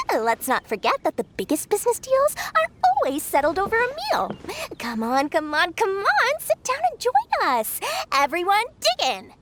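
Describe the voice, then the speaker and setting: High voiced merchant lady from a game, super swoopy, sly n theatrical, wheedling. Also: wildly swooping pitch, a little slow, background noise, full character voice. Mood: gracious, wheedling. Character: small shrewd female merchant, theatrical, sly, money-minded. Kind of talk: animated video game voice acting.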